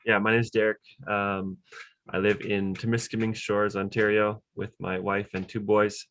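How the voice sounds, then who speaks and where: Very deep, flat, gravelly-voiced man. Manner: halting, with many fillers. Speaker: panelist, translation consultant. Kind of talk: self-introduction on a panel. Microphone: video call.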